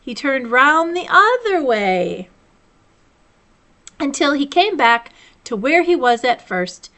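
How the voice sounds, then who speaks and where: A woman reading a children's storybook aloud as a library storyteller, with measured, deliberate phrasing. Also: high-pitched, sing-song, sharp tone.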